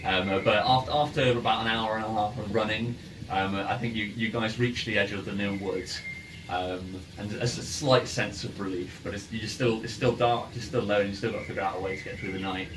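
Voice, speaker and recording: A man's voice: fairly low pitch, steady tone, a little fast. A man running a tabletop game as game master, narrating casually and repeating words as he improvises. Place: in-person game around a table. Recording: distant mics, noisy background ambience. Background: ambient game music and noise.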